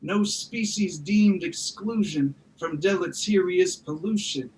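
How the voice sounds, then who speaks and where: Male slam poet, high register, rhythmic and emphatic rhymed delivery, slightly noisy recording. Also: takes it slowly, darkish tone. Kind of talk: rhyming slam poetry recitation.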